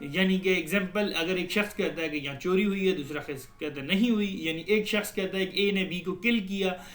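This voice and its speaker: Male law lecturer, Pakistani accent, slightly high register, instructive question-and-answer delivery, very echoey room sound. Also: brisk. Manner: didactic, poses questions then answers them. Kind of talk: explanatory lecture.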